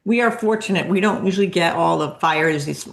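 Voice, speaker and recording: A low, gravelly-voiced woman co-hosting a podcast, laughing easily as she tells quick stories. Her voice sits low with plenty of fry. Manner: laughing, storytelling, quick asides. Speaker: podcast co-host, accountant. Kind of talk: casual podcast chat.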